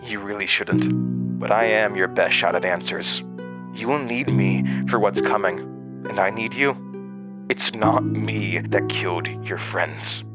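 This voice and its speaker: An actor in an audio drama playing a mysterious, volatile male entity living inside someone's head, speaking in a halting, cryptic way. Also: lowish voice. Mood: ominous. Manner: halting, cryptic, swinging from muttering to outbursts. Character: fragmented mysterious male entity implanted in another's mind, volatile. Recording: music behind.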